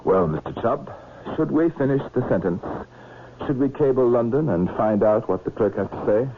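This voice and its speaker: An actor playing a composed murder investigator, asking measured, formal and insistent questions in a vintage radio drama. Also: male voice, medium pitch, lively intonation, lightly acted. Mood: pointed, formal. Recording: muffled, noisy old radio recording.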